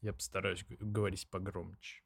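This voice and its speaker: Low hoarse guy swearing casually. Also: little pitch movement, a little fast, no room sound, clean studio sound. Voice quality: slightly hoarse. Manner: slangy, sweary, rambling. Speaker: podcast co-host. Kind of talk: casual chat with heavy swearing.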